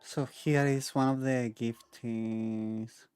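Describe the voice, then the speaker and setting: A woman's voice: very deep voice, fairly even intonation, slow, a little mellow, smooth, no room sound, soft background music. A woman giving casual game-streaming commentary, offhand, as if muttering to herself.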